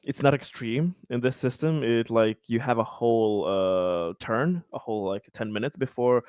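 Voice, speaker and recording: A man's voice: mid-range voice, moderate pace, a little mellow, smooth, no echo, clean studio sound. A man playing a tabletop game, casually explaining rules in an informal way.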